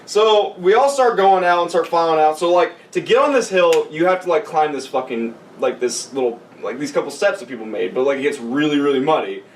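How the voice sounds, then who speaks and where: A man's voice: a little high, animated, brisk, hardly any pauses. A man telling a rambling, comic story to friends, casual and sweary, often close to laughing. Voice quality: frequent swearing. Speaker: podcast storyteller. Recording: roomy, distant mic with background hiss.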